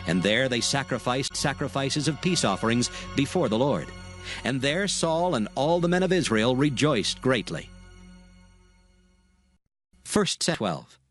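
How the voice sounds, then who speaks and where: A man narrating a dramatized scripture reading in a formal, measured, solemn way. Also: medium pitch, animated, soft background music, close to an everyday voice.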